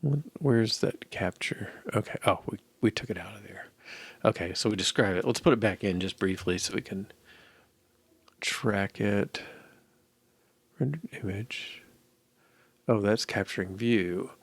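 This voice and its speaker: A middle-aged man thinking aloud as he codes, musing and halting as if muttering to himself, in casual commentary. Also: on the low side, creaky, no echo. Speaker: programmer live-coding. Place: indoor room at a desk.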